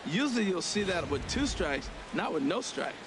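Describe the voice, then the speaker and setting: A man's voice: sits high, big pitch swings, normal speed. A man playing a folksy, opinionated baseball color commentator in a video game, analysing the play conversationally. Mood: musing, critical. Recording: clear stadium noise behind voice.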